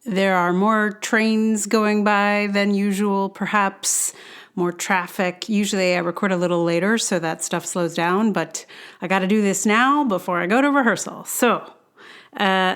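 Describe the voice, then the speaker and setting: A woman's voice: mid-range voice, smooth. A woman hosting a blogcast, reading her personal essay in a reflective, conversational way with wry, self-deprecating asides. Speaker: blogcast host and writer.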